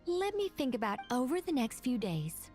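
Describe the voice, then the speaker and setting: High-voiced, rambling, eager scholarly mystic. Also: female voice, very animated, normal speed, music in the background. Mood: absorbed, explaining. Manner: flustered, wordy, trailing off and correcting herself. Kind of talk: anime-style video game acting.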